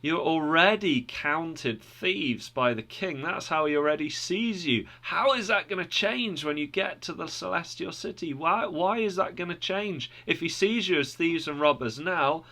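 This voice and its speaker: Guy doing a earnest pilgrim, urgent and pleading, a bit higher than his own voice. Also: animated, a little slow, few pauses, sharp tone, heavily acted.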